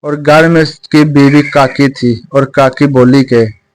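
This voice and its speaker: A man's voice: mid-range voice, steady tone, slow, low-quality recording. A man narrating a scripted moral story as a storyteller.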